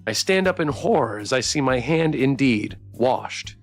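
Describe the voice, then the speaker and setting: A man's voice: mid-range voice, animated, normal speed, faint music behind. A man streaming a game, reading the story aloud expressively and chatting with viewers as he goes. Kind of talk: playthrough narration with chat banter.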